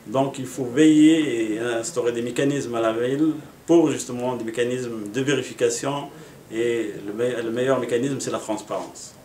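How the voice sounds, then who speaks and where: Homme d'âge mûr d'origine marocaine, pièce résonnante. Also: male voice, medium pitch, mellow. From Morocco. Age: middle-aged. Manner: earnest, measured, with frequent pauses and fillers. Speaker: municipal election candidate. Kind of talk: interview answers. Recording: echoey room.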